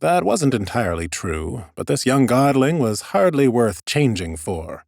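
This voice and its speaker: A man's voice: mid-range voice, big pitch swings, measured pace, vocal fry, darkish tone, no room sound. A man narrating scripted first-person fantasy audiobook prose with wry understatement, close on a studio mic. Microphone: close studio microphone.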